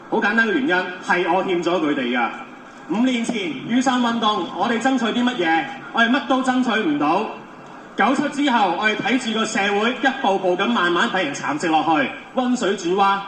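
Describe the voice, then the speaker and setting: A man's voice: high-pitched, fairly quick, a bit bright. A man in his thirties from Hong Kong, a volunteer first aider, giving an emphatic, pleading speech to a protest crowd. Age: 30s. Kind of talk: impassioned street speech. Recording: echoey, distant, faint street noise.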